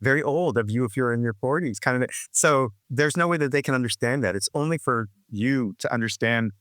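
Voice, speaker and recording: A man's voice: mid-range voice, fairly quick, a bit bright, no room sound. Man in his sixties, musician guest, reflective and rambling, restarts often, dry close sound. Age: 60s. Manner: rambling, full of restarts and "you know, like". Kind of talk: reflective conversational interview.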